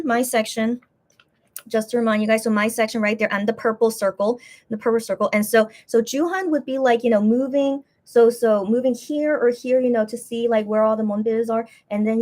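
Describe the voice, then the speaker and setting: A woman casually telling a story on a live stream, reading viewer comments aloud and rambling with many restarts. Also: mid-range voice. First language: English.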